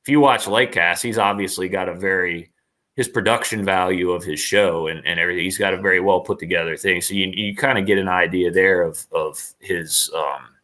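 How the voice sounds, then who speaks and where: A man with a fairly low voice and a bright, forceful edge. He speaks as a guest in a casual interview, rambling with enthusiasm and doubling back on his phrases. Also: steady tone, brisk. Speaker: interview guest, online performer.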